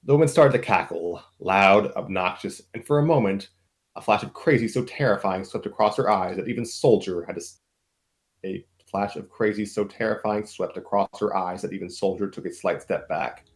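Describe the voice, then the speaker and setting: A man's voice: fairly low pitch, normal speed. A man narrating a story in a dramatic read-aloud, as one performer in an informal group reading. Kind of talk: dramatic reading of fan fiction with slips and asides.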